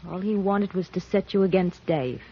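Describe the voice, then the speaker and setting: A loyal young outlaw's wife, played by a woman, husky, earnest and defiant in an American voice. It is radio western acting on a muffled old recording. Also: medium pitch, moderate pace, lightly acted.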